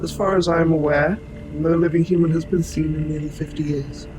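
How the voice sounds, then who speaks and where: A man playing a gentle, protective robot soldier in an audio roleplay, speaking to the listener in formal, precise, measured wording. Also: fairly high pitch, level tone, lightly acted, rough recording. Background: music.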